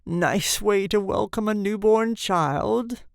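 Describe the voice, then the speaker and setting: Storybook old man, acted by a woman, weak cracked whine, querulous, complaining, raised pitch. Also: sing-song, measured pace, dry recording, studio quality, exaggerated voice. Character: querulous whining newborn old man of seventy.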